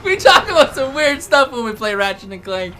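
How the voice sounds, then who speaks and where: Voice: sits high, sing-song, brisk, noisy background. A gaming show co-host chatting casually and jokingly, with a giggly, goofy manner and a habit of silly sounds. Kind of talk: casual joking let's play chat.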